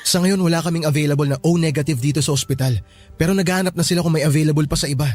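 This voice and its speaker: An actor playing a calm, reassuring young male hospital worker in a radio drama, speaking soothingly and earnestly. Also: fairly high pitch, very fast, faint music behind, lightly acted. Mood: calm, serious.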